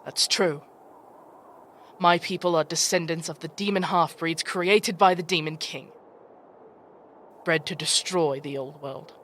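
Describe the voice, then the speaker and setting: A woman's voice: deep voice, a little slow, pauses often, fairly bright tone, slight background noise. A woman playing an ancient, proud female demon chieftain, fierce and vengeful, speaking with stern formality in a fantasy audio drama. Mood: dignified.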